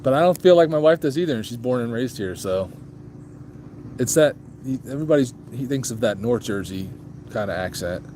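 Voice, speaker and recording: A man's voice: mid-range voice, expressive, fairly quick, mellow, a little hiss or hum. A man hosting a casual motorcycle vlog, chatting loosely with viewers and answering their questions, with plenty of ums and restarts. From grew up moving around as an Army child. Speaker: motorcycle vlog host reading live chat.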